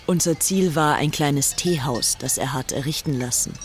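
A woman's voice: low voice, moderate pace, faint music behind, close to an everyday voice. An actress playing a self-assured, brazen young upper-class English woman devoted to a dictator, narrating her diary in a German audio docudrama. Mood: dreamy, content.

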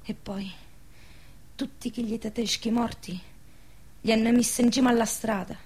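A young, devout peasant woman who is dying and afraid. Her voice is fairly high and breathy, slipping into whispers. She speaks a rustic central-southern Italian dialect in intense radio drama style. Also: fairly even intonation, moderate pace, darkish tone. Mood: haunted, anguished. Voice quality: breathy, often dropping to a whisper.

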